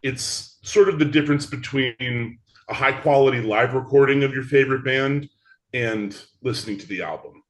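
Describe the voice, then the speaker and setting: A man speaking as an interview guest, explaining things in a measured, conversational way. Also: mid-range voice. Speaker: interview guest, theatre show creator.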